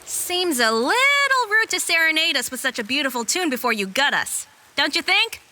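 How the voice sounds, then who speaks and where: Woman doing a sarcastic young fantasy fighter, feisty, bright high voice that jumps all over. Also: animated, normal speed, slight background noise, exaggerated voice. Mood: defiant. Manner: wry, feisty. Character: sarcastic, plucky young fighter travelling with a lord. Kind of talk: fantasy audio drama acting.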